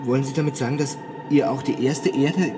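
An actor playing a bewildered, overwhelmed ordinary Earthman in a radio drama, halting and stammering with disbelief. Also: medium pitch, fairly even intonation, natural voice. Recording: long hall reverb, noisy ambient effects.

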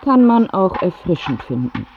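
Calm, unyielding Time herself, muffled recording. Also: female voice, on the low side, normal speed, slight background noise. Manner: matter-of-fact, terse, unyielding. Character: Time personified, a calm, unstoppable woman always walking. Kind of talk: radio play acting. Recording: muffled radio play, music underneath.

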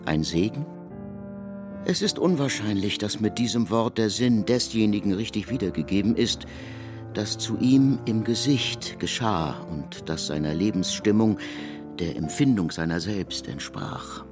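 A man with a very low voice reads literary prose in over prominent music. His intonation moves widely, yet the phrasing stays deliberate and reflective, as in a radio drama narration. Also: lively intonation.

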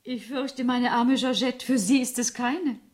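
An actress playing a young bride-to-be in a radio drama, an employer who talks in an airy, politely patronizing way. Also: female voice, a little high, a little slow, natural voice. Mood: politely dismissive. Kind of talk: 1970s German radio drama acting.

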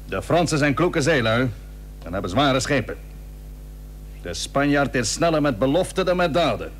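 A man playing an old, proud and plain-spoken Dutch admiral in a historical radio drama, formal, measured and firm. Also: medium pitch, sing-song, natural voice. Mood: measured, sceptical. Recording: noisy old radio recording with hum.

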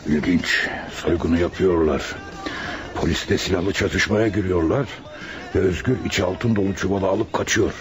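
A very deep male voice of an actor plays a scheming restaurant boss in a radio drama. He is measured and probing, wrapping menace in fatherly concern. Faint music sits behind him. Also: steady tone, close to an everyday voice. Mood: calm, calculating. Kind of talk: Turkish radio drama acting. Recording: radio play with music underneath.

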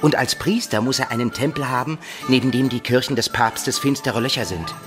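Man plays blunt student companion in medieval radio drama, irreverent, mid-pitched, prominent music behind. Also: fairly quick, natural voice. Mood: urging, emphatic. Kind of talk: German radio drama acting.

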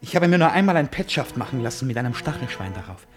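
Mann spielt erratischen, theatralischen Exzentriker, sehr lebhaft. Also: male voice, mid-range voice, very animated, fast, soft background music, close to an everyday voice. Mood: agitated. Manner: theatrical, swinging between confiding murmur and agitated outburst. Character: erratic, boastful man, melodramatic, needling, self-mocking. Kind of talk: German radio drama acting.

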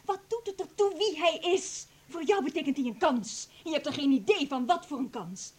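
A high-voiced, domineering woman from a radio drama. She is ambitious and imperious, nagging as she presses her demands. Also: lively intonation, normal speed. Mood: urging. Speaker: actor in a radio play. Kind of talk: Dutch radio drama acting.

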